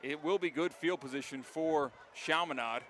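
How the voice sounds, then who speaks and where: Higher-pitched American football announcer over loud noise. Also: male voice, normal speed. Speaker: play-by-play announcer. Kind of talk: live American football play-by-play commentary. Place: outdoor stadium. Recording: live stadium broadcast with loud background noise. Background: loud stadium noise.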